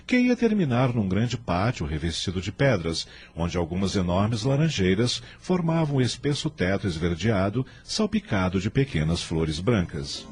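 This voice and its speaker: A man's voice: lowish voice, big pitch swings, moderate pace, few pauses, darkish tone. A man narrating a scripted radio drama in a dramatic storytelling style. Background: faint background music.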